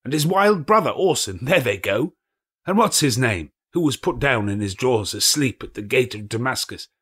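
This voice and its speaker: A man voicing an old male miser, excitedly reliving childhood memories between laughing and crying. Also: mid-range voice, moderate pace, slightly rough, pitched above the performer's own voice.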